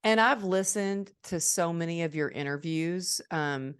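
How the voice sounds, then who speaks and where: A woman's voice: lowish voice, a little slow, clean tone. A woman hosting a podcast, full of gushing praise for her guest and leaning on fillers as she frames her questions. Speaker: podcast host. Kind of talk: interview questions.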